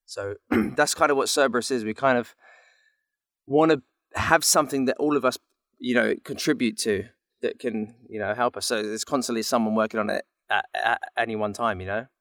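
A man's voice: mid-range voice, moderate pace, fairly bright tone, no room sound, studio quality. A man talking casually as a voice actor guest in an interview.

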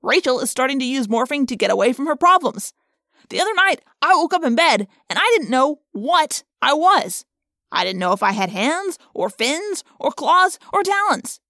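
A woman's voice: high-pitched, very animated, normal speed, pushed and bright, dry recording, studio quality, voice pushed up, exaggerated voice. Woman doing a blunt, cynical teenage boy. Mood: bleak. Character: jumpy, stressed, wisecracking teenage boy.

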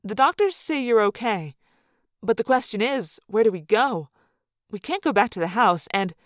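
A woman voicing a brisk, combative lawyer mother in an audiobook story. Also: a little high, lively intonation, no room sound, clean studio sound, close to an everyday voice. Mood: practical.

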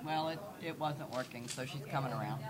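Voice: medium pitch, fairly quick. Organizer calling names over a crowd. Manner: directing people, calling names. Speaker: event organizer. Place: meeting hall.

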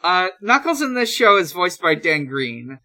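A podcast host casually recapping a show in a halting, hesitant way. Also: mid-range voice, big pitch swings, pushed and bright. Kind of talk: casual episode recap chat.

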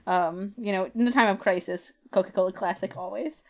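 A woman's voice: mid-range voice, a little fast, a little mellow. A woman hosting a podcast in a casual chat, retelling a comic playfully and acting out lines with little asides. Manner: playful, acts out quoted dialogue with asides. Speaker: podcast host.

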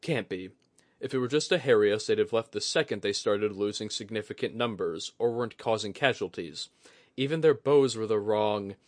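A man's voice: on the low side, normal speed, no room sound, lightly acted. A man voicing an alert, anxious soldier in a fantasy audiobook, speaking earnestly and urgently. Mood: worried reasoning. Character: alert, anxious, quick-thinking male soldier on watch.

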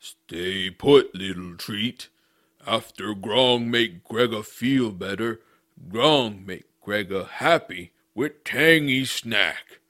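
A man playing a slow-witted, menacing, hungry giant in an audio drama, speaking in halting broken sentences. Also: lowish voice, pushed and bright. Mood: gloating. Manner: halting broken speech in third person.

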